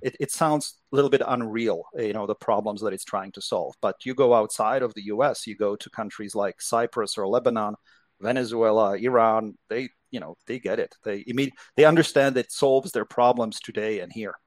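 A man's voice: lowish voice, normal speed, no room sound. A middle-aged man from Czechoslovakia, a native Czech speaker, explaining things as an interview guest. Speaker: interview guest, crypto investment executive.

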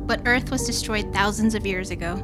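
A woman's voice: mid-range voice, a little fast, loud music behind the voice, close to an everyday voice. An actress playing a cynical, defiant young woman with a sardonic, combative manner in an audio drama. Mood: scornful.

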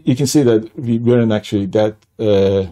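An older man from Iran with a Persian accent, telling his life story as an interview guest in a halting way, with many restarts. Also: on the low side, warm tone.

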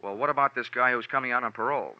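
A man's voice: mid-range voice, very fast, lightly acted. An actor playing a wry, flippant male insurance investigator in 1940s radio detective style, speaking with a sardonic manner. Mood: questioning. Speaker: lead actor and narrator. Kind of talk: 1940s radio detective acting, wry first-person narration. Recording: dull vintage 1940s radio recording, faint music.

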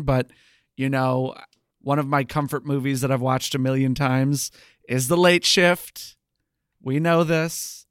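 A man's voice: mid-range voice, smooth, no echo, studio quality. A man co-hosting a podcast, chatting casually about television. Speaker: podcast co-host. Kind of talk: casual TV review podcast chat.

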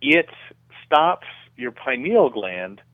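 A middle-aged man giving measured, conversational explanations as a guest in a radio interview, often repeating words as he goes. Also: mid-range voice, big pitch swings, no echo, phone-quality sound. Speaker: guest researcher being interviewed.

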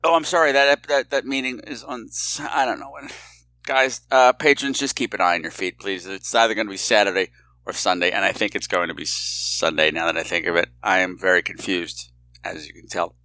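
A man's voice: sits low, brisk, pushed and bright. A man hosting a podcast with a casual, rambling introduction, informal and scattered with theatrical flourishes. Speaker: podcast host.

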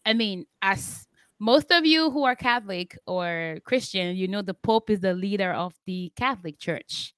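A woman hosting a podcast, chatting casually and telling stories in an animated way. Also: medium pitch, normal speed, smooth, no echo. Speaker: podcast host.

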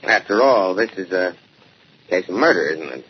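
A dull old radio recording with faint noise. a polite, accommodating office official, low in pitch and a little hesitant. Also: male voice, animated, normal speed. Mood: obliging, hesitant. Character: obliging, mildly hesitant phone company official. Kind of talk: 1940s radio acting.

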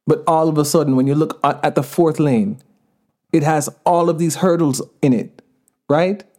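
A man with a Jamaican accent talking as a podcast guest, laughing often, slipping into patois and slowing down to stress key words. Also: on the high side, darkish tone. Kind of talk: casual podcast conversation.

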